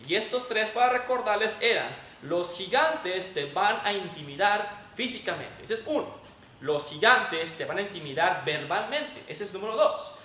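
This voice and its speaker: A man's voice: high voice, expressive, moderate pace, pushed and bright. A man with a Mexican accent preaching a sermon in an emphatic, didactic way. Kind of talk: sermon with Bible reading and prayer. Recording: echoey room, distant mic, faint noise.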